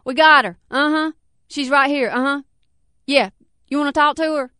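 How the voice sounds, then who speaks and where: A woman's voice: sits high, lively intonation, moderate pace, a bit bright, dry recording, clean studio sound, pitched above the performer's own voice. Woman doing a snarling bully cyclist man. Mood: insistent. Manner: snarling, insistent. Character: big spitting male cyclist, bullying, self-righteous.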